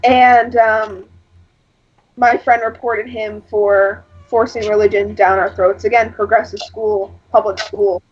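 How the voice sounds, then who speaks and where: Woman, husky and breathy, casual rambling storyteller; muffled lo-fi recording. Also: flat delivery, takes it slowly. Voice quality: husky, lower than average for a woman. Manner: rambling, storytelling with frequent fillers. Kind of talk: casual chat. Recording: lo-fi dull sound.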